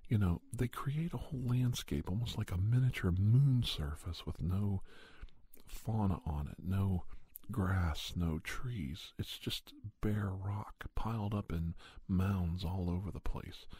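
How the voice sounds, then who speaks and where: A soft-spoken man telling a first-person story in an ASMR style, often dropping toward a whisper. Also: low voice, takes it slowly, breathy edge, no echo. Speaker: storyteller and podcast host.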